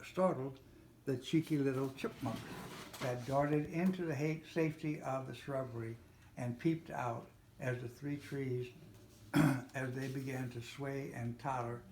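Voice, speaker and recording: An older man reading a children's storybook aloud, a little haltingly, with small slips and self-corrections. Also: medium pitch, a little mellow. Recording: roomy, echoey home recording with faint noise.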